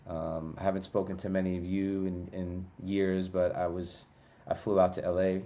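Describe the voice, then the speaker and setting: Man, New York accent, very low and level delivery, mellow tone, heartfelt video message with faint hiss underneath. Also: level tone, moderate pace. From Brooklyn, New York. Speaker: former cast member sending a video message. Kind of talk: recorded video greeting.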